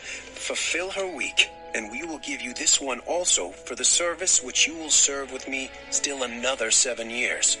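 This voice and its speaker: A man playing a calm, calculating older patriarch and father in a dramatized scripture production. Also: medium pitch, a little slow, over music, lightly acted, rough recording. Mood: firm, bargaining.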